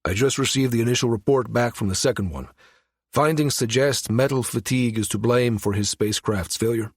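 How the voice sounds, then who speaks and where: A man voicing a grave, inscrutable investigator with a husky, deliberate delivery in an audiobook. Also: mid-range voice, dry recording, clean studio sound. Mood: serious, probing. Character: grave, inscrutable male investigator, measured and probing. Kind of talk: audiobook character dialogue.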